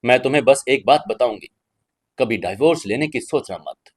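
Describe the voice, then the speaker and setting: A male storyteller plays a middle-aged mother in an audio drama. She is worldly-wise and gently insistent, coaxing and warning as she gives counsel. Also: medium pitch, expressive, normal speed, close to an everyday voice. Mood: grave, admonishing. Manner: coaxing, admonishing.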